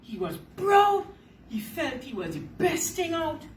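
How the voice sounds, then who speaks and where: A woman tells a children's story in a high, swooping voice. She is emphatic and takes her time, repeating phrases for her listeners. The recording is roomy and a little distant, with faint noise behind. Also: very animated, measured pace. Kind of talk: animated children's storybook reading.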